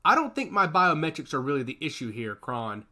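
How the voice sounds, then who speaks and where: A man's voice: on the high side, sing-song, a little fast, pushed and bright. A man voicing a brash, cocky augmented fighter who talks with swagger and defiance. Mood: defensive. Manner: confident, defiant.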